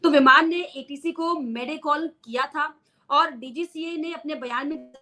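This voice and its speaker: A woman's voice: sits high, measured pace, bright, forceful tone. A woman news presenter giving an unscripted explainer to viewers, repeating key phrases for emphasis.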